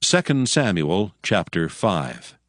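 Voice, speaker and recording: A man narrating a dramatized Bible in a measured, solemn storytelling style. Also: deep voice, sing-song, fairly bright tone, dry recording.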